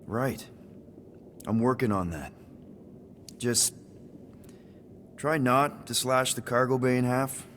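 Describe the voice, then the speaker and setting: A man's voice: medium pitch, takes it slowly, long pauses, fairly bright tone. An easygoing crew leader from a space video game. His voice sits in a middle range and he talks in friendly, rambling slang, with faint noise behind him. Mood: wry, probing. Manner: casual, rambling, boyish slang.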